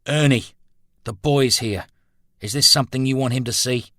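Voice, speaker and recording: A man reading the part of a loyal, steady friend, wry and urging restraint, in a narrated story. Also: medium pitch, normal speed, dry recording, voice pushed up. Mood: urgent.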